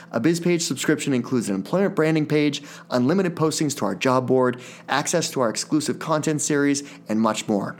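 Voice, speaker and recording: A man hosting a tech podcast, reading a prepared intro and ad, then chatting with his guest in a friendly way. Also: medium pitch. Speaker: podcast host. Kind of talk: scripted intro then conversational interviewing.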